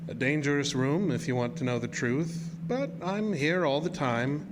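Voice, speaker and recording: An actor playing a sinister, courtly spook-house keeper in a horror audio drama, mock-polite and theatrical. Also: male voice, a little high, normal speed, heavy background noise, natural voice. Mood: gloating, ominous. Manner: suave, mock-polite, theatrical, formal wording.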